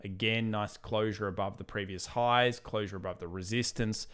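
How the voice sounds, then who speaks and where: A man with an Australian accent and a lowish voice hosts a casual live trading stream. He rambles loosely between points, and his voice sounds worn from talking for hours. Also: fairly even intonation, moderate pace, fairly bright tone, clean tone. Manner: casual, rambling, reads viewer questions aloud. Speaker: trading channel host. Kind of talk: live stream market analysis.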